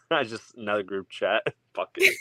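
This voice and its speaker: A man co-hosting a livestream in casual group chat, animated and laughing as he tells stories. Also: lowish voice, measured pace, slight background noise. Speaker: co-host of a livestream.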